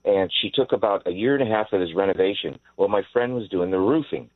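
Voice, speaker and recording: An American man in his sixties calling a radio show, telling a personal story in an earnest, halting way. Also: on the low side, no echo. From Hawaii, United States. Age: 60s. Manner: earnest, halting, repeats words. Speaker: phone-in caller. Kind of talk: casual personal anecdote. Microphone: telephone. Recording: tinny narrow phone line.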